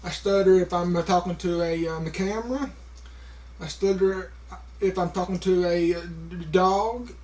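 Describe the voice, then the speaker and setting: A man's voice: high voice, a little mellow. A man talking casually to a camera, with a heavy stutter, repeating sounds and stopping on words, with many fillers. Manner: slow, halting, many fillers. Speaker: vlogger.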